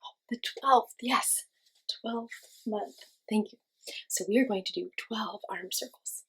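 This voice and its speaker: A woman teaching young children, giving simple encouraging instructions and asking questions, with pauses for answers. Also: fairly high pitch, fairly bright tone, breathy edge. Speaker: children's class teacher and host. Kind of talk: children's lesson with guided movement.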